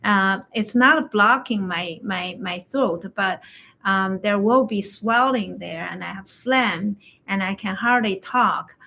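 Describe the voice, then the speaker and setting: A woman acupuncturist, a non-native English speaker whose first language is Chinese, chatting in a slow, halting way about health, often telling stories about patients. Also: medium pitch, creaky, sharp tone. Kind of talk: casual health chat. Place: livestream conversation.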